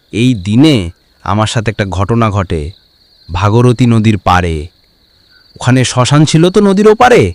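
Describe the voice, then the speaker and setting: A man's voice: medium pitch, no room sound, natural voice. A man playing a superstitious, deferential village storyteller in a cartoon. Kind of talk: cartoon dialogue.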